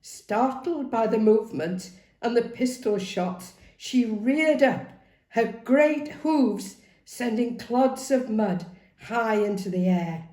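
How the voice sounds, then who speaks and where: A woman reading a novel aloud as dramatic, expressive narration. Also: a little high, takes it slowly. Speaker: story reader. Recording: roomy, echoing sound.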